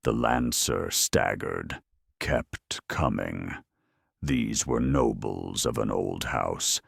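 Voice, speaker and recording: A man narrating a scripted story dramatically, in a deliberate, grimly wry manner. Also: extremely low pitch, creaky, breathy edge, no room sound, studio quality.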